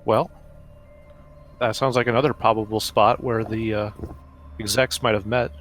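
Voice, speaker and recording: A man playing a tabletop roleplaying game, talking casually and a little hesitantly with pauses as he thinks. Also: fairly low pitch, little pitch movement, a bit bright, soft background music.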